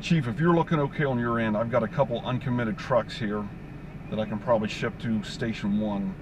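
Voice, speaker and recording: A man working as a fire incident commander, giving procedural, clipped instructions in radio dispatch style. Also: a little fast. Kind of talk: fireground radio dispatch talk. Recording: noisy outdoor scene, vehicle rumble.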